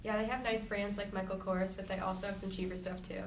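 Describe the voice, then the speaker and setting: Brisk 22-year-old woman, echoey and distant. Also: medium pitch, level tone. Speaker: focus group participant. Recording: echoey room, distant noisy mic.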